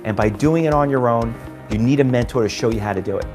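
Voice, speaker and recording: A man in his forties, founder of a trading school, pitching emphatically with rhetorical questions. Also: fast talker. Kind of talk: promotional testimonial pitch. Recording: music bed underneath.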